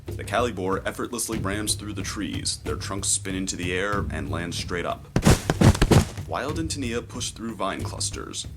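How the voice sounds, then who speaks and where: A man narrating a scripted adventure story in a measured, descriptive, dramatic storytelling style. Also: low-pitched, lively intonation. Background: music.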